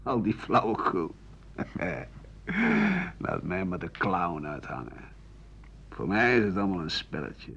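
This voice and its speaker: An actor playing a bitter, cynical old French doctor and writer in a radio play, rambling and scornful with muttered asides. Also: male voice, sits low, very animated, takes it slowly, gravelly, pauses often, a bit bright, heavily acted. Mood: weary, mocking. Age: older. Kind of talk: radio play monologue in interview form. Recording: muffled, noisy 1980 radio drama recording.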